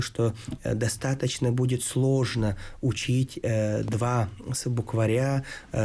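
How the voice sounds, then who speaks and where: A man hosting a studio discussion on TV, a native Yakut speaker talking in a measured, formal way with frequent pauses. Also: mid-range voice, dark tone. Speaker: TV talk show host.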